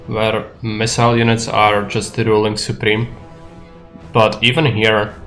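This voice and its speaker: Low, flat Czech-accented man. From Czech Republic. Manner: musing aloud, blunt and matter-of-fact. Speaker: streamer. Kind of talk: casual gameplay commentary.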